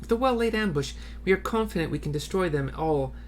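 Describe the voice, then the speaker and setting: Man reading as a formal, earnest army officer from a game. Raised pitch, measured delivery, light noise. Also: expressive. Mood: reassuring. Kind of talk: amateur read-aloud of game dialogue.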